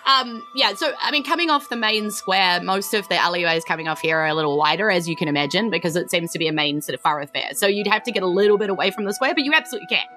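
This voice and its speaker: A woman's voice: mid-range voice, animated, quick pace, few pauses, sharp tone. A woman hosting and narrating a tabletop role-playing game. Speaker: game master and host of a tabletop RPG podcast. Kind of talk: narrating a tabletop game, part recap, part casual chat.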